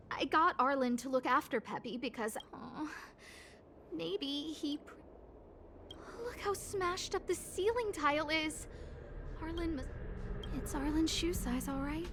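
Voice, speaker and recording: A woman's voice: high voice, takes it slowly, pauses often, a bit bright, slight background noise. A woman playing an earnest, anxious, caring young woman in a video game, hesitant and thinking aloud. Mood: worried, musing.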